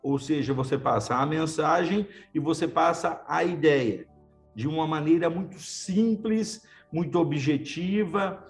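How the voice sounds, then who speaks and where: A man's voice: fairly high pitch, measured pace, faint music behind. A man, a lawyer, talking casually and thoughtfully in short, restarting phrases. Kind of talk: casual conversation.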